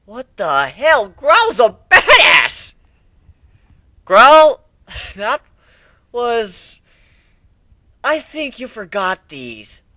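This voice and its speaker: Man doing a brash, animated griffin pirate captain. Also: very high voice, drawn-out words, pauses often, no room sound, pitched above the performer's own voice, exaggerated voice. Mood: gleeful. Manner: taunting, exuberant, swearing.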